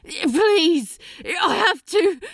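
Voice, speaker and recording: A woman's voice: very high-pitched, lively intonation, drawn-out words, fairly bright tone, no echo, clean studio sound, pitched above the performer's own voice, heavily acted. A woman voicing an anguished, despairing male demon in a story reading, panting and strained through clenched teeth. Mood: anguished, desperate. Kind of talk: dramatic fan fiction reading.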